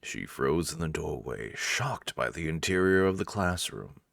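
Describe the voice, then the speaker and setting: A man's voice: very deep voice, big pitch swings, unhurried, creaky, fairly bright tone, dry recording, studio quality. A man hosting a let's-play, reading story narration theatrically with casual, amused asides. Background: faint game music.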